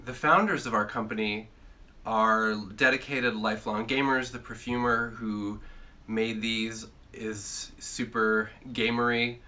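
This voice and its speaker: A man's voice: fairly low pitch, fairly even intonation, measured pace, sharp tone. A man hosting a casual chat, congested from a cold, rambling and joking with lots of filler sounds. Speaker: host.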